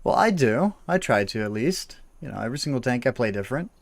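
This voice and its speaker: A young man in his early twenties hosts a casual gaming stream, chatting as he plays. He talks loosely with plenty of fillers and laughs often. His voice sits at an ordinary pitch and sounds dry and close. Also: sing-song, fast talker, creaky, no echo. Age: early 20s. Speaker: game streamer host. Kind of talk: casual livestream chat while gaming.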